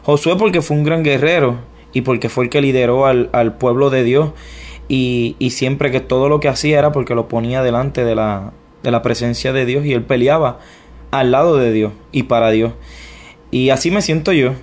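Halting Puerto Rican man telling his story. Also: mid-range voice, fairly even intonation. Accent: Puerto Rican Spanish. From Puerto Rico. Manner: hesitant, frequent restarts, self-deprecating. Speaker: interview guest. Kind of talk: personal testimony interview.

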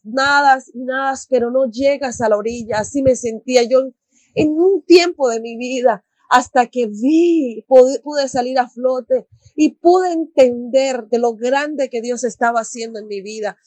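A woman's voice: sits high, measured pace, a little mellow, dry recording. A woman giving a devotional personal testimony in an earnest, exhorting manner. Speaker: church member giving a testimony.

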